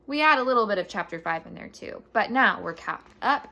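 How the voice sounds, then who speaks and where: Woman reading a children's story aloud expressively. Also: mid-range voice. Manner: dramatic, animated storytelling with deliberate emphasis. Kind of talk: expressive children's chapter book narration.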